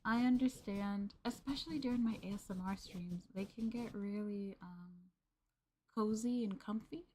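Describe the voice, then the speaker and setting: A young woman streamer talking gently and softly with her viewers during a cozy ASMR roleplay stream, often giggling and dropping to a hush. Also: mid-range voice, normal speed, slight background noise.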